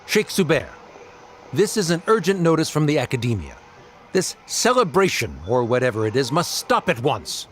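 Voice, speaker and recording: A man's voice: a little high, big pitch swings, moderate pace, fairly bright tone, slight background noise. An actor playing a condescending, officious male official in a video game, cold and pompous. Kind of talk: game voice acting.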